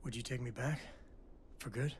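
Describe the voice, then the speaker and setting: A man's voice: mid-range voice, normal speed, slight background noise, lightly acted. An actor playing a remorseful, self-deprecating father in a video game, speaking in a wry tone. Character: remorseful, self-doubting father, adult man. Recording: game audio with faint ambience.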